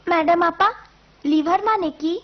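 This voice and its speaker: Young village schoolgirl for a cartoon: tiny high voice, polite, curious, lo-fi audio. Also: moderate pace. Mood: timid, puzzled. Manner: childlike, polite, questioning. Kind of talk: cartoon voice acting. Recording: muffled lo-fi cartoon soundtrack with music.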